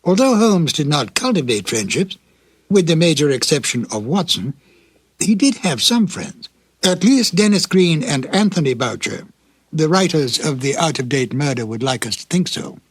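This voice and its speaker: A man hosting a program with scripted narration, dramatic and deliberate like a storyteller, in a slightly husky voice. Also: a little high, very animated, no echo. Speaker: host introducing old radio episodes.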